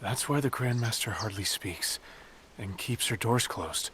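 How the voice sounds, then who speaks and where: A man playing an earnest, thoughtful sect disciple who recounts old tales in a measured, dramatic way for a video game. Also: lowish voice, a bit bright, slight background noise. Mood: grave. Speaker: non-player character giving backstory. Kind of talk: expressive storytelling in video game dialogue.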